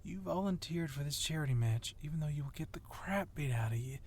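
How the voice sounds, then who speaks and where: A man's voice: a little high, expressive, fairly quick. A man playing a confident, sly policeman wrestler who teases and goads his rival in an ASMR roleplay. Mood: sly, admiring. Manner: teasing, needling.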